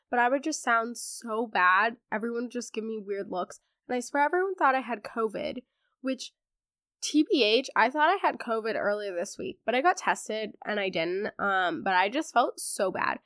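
A woman's voice: fairly high pitch, a bit bright, dry recording, studio quality. A teenage girl hosting a podcast, sounding congested as she recovers from laryngitis, chatting casually with a sarcastic streak and lots of fillers. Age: teens. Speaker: podcast host. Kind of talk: casual chat.

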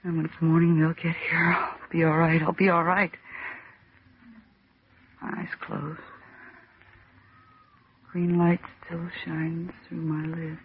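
A woman's voice: low voice, flat delivery, takes it slowly, long pauses. An actress in an old-time radio drama playing a terrified, trapped woman struggling to stay sane, with a tremulous, pleading, intense delivery. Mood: numb, dread. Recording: noisy, tinny old radio recording.

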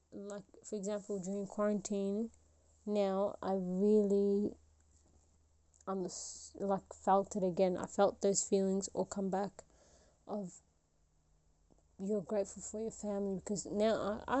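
Mellow-voiced young woman musing on a podcast. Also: medium pitch. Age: 20s. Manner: rambling, many fillers and restarts. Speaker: podcast host. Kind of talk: solo reflective podcast talk.

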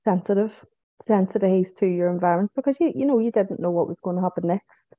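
A woman's voice: medium pitch, quick pace, dry recording. A woman with a Northern Irish accent, a guest chatting casually in an interview, who repeats herself and tags sentences with "you know" and "so it is". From Northern Ireland. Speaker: podcast guest, psychic medium. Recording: tinny phone-like line.

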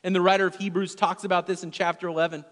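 Man, pastor, sermon, slightly high voice, earnest, loud-to-hushed swings, long hall reverb. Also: flat delivery, rapid-fire. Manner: earnest preaching with wide swings in loudness, dropping to near-whispers.

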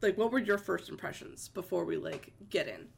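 A woman's voice: on the low side, expressive, moderate pace, gravelly, a little hiss or hum. A woman hosting a podcast, slightly congested from a cold, chatting with easy enthusiasm. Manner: chatty, enthusiastic, laughs easily. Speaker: podcast host. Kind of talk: casual chat.

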